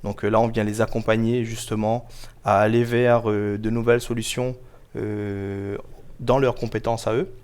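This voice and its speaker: A man giving explanatory answers as an interview guest, measured and formal, pausing often to choose his words carefully. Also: lowish voice, level tone, darkish tone, clear, resonant tone. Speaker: guest, local government project officer.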